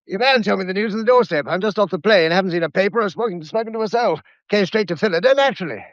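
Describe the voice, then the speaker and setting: High, quick-talking male adventurer played by an actor. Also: no echo, dull audio, close to an everyday voice. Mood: hurried, apologetic. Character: brash, impetuous adventurer back from abroad. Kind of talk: period mystery radio drama acting.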